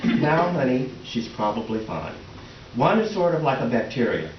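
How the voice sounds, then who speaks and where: Casting: man as placating middle-aged husband, comic stage reading; flustered, soothing, animated, echoey room sound. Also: mid-range voice, moderate pace, natural voice. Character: sheepish, placating middle-aged husband caught between women. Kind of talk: comic readers theater acting and narration. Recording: roomy, distant, dull, faintly noisy stage recording.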